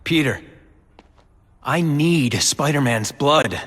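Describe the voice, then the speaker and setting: An actor playing a desperate, bitter young rich heir in a video game, with a husky, intense delivery. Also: male voice, on the high side, animated, takes it slowly, fairly bright tone, long reverb tail, exaggerated voice. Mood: bitter, urgent. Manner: intense, embittered. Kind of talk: video game cutscene acting.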